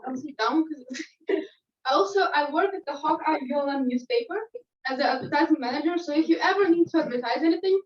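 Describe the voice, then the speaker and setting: A woman's voice: high-pitched, a little fast. A woman from Ukraine introducing herself as a student newspaper's advertising manager, friendly and inviting people to contact her. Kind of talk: self-introduction at a group meeting. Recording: muffled, echoey room, from far off.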